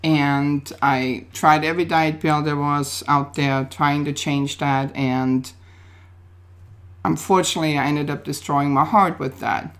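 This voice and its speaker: Austrian-accented female interview guest, low voice, upbeat and determined. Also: steady tone, unhurried. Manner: upbeat, determined, speaks in short affirmations. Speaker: guest, plus-size model. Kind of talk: interview answers.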